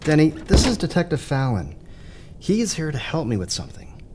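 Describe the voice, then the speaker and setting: An actor playing a composed male psychiatrist in an audio drama, speaking in a measured, reassuring and gentle way. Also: fairly high pitch, wildly swooping pitch, slight background noise.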